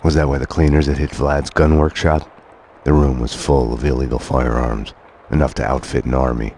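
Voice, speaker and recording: An actor playing a world-weary middle-aged detective in a game, speaking terse, fatalistic, deadpan noir monologue. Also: male voice, extremely low pitch, moderate pace, a little hiss or hum. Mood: grim, fatalistic. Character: brooding, world-weary middle-aged male detective narrating his own downfall. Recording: dramatic music under the voice.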